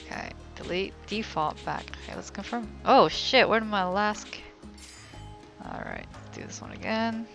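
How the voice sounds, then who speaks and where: A woman's voice: medium pitch, wildly swooping pitch, normal speed, creaky, music in the background. A young woman giving casual let's play commentary as a game streamer, often murmuring to herself.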